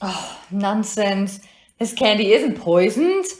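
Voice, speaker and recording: A woman's voice: medium pitch, very animated, slow pace, a little mellow, reverberant. A woman playing an outspoken, skeptical companion to a detective in an audio play. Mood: dismissive. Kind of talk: amateur audio play acting.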